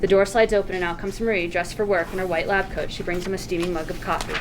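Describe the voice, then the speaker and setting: Brisk, low-voiced American woman narrator. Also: steady tone. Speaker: stage directions reader. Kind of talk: scripted narration at table read. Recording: noisy room, ambient hum.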